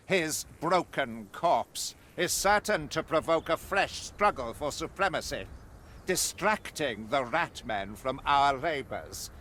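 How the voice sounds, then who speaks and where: An actor playing a zealous, righteous witch-hunting warrior priest in a video game, pompous and grandiloquent, pausing deliberately for effect. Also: a little high, bright, forceful tone, full character voice. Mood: grave, resolute. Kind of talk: theatrical video game mission briefing.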